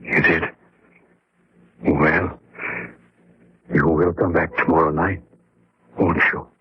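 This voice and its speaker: An actor playing a sinister, quietly menacing widower telling his tale in an old radio horror drama, insinuating and deliberate. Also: rumbling low, flat delivery, a little hiss or hum. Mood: sly, menacing. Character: sinister male storyteller, widower turned secret vampire, quietly menacing. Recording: dull, lo-fi old radio recording.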